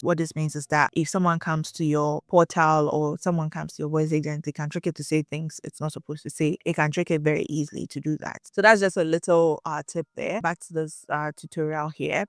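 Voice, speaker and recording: A woman with an African accent walking through a software setup in a screen-share tutorial, explaining step by step and checking in with "okay?". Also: sits low, no room sound, clean studio sound. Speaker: tutorial host.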